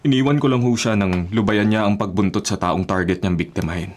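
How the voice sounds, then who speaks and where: A man acting an earnest, worried Filipino character with a husky voice in a radio drama. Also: on the low side, fairly quick, close to an everyday voice. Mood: respectful, concerned. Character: earnest, worried man urging others off crime. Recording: radio drama, faint music behind.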